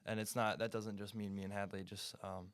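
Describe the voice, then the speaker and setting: A teenage boy runner speaking in a measured, hesitant way with frequent ums. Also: on the low side, level tone, fairly bright tone, dry recording. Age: teens. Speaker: high school runner.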